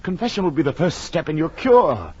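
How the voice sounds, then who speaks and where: A man acting a rational, concerned friend in 1940s radio drama, earnest and theatrical, moving between hushed and urgent tones. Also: fairly high pitch, sing-song, a little fast, breathy edge. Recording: dull, noisy vintage radio recording.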